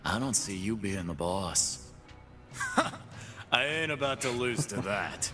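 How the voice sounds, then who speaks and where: An actor voicing a cocky, brawling male fight-pit boss in game voice lines, full of gruff, boastful trash talk, with game music behind. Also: fairly low pitch, lively intonation, slow.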